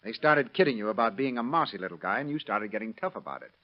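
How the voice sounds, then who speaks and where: A man's voice: on the low side, lively intonation, fast talker, close to an everyday voice. A man acting a sardonic, clipped police detective in an old radio drama, in a dull vintage recording. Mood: stern, accusing. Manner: clipped, hard-boiled, slangy. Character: brisk, sardonic, skeptical male police detective.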